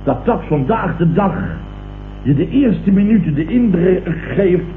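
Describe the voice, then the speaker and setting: Casting: blustering, self-satisfied company boss for vintage radio comedy; thin noisy sound, mocking repeats. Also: male voice, high-pitched, lively intonation, a little slow, narrow, tinny audio. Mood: indignant. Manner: pompous, repeats others' words mockingly. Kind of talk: 1970s Dutch radio comedy acting. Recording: noisy, roomy old radio broadcast recording.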